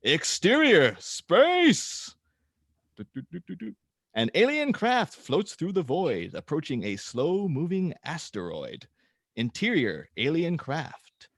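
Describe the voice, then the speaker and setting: A man hosting and narrating a script read-through in a casual, somewhat halting manner. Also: fairly high pitch, huge pitch swings, a bit bright, dry recording, studio quality. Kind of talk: casual introduction, then reading screenplay directions aloud.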